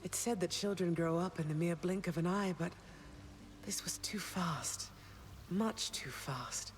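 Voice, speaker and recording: An actress playing a regal underworld queen and mother in a video game, poised, measured and dry. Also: lowish voice, natural voice. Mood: tender. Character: regal underworld queen, loving but sternly unforgiving mother. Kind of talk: video game dramatic acting.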